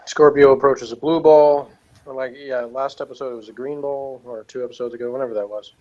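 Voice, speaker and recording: A man's voice: mid-range voice, fairly even intonation, brisk, a little mellow. Hesitant man in dull lo-fi audio. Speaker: podcast co-host reviewing television. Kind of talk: casual review chat.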